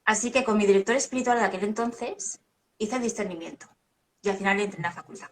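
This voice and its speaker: A woman's voice: medium pitch, quick pace. A woman in her thirties, a guest evangelist, giving a personal testimony in an earnest, reflective way with hesitant restarts.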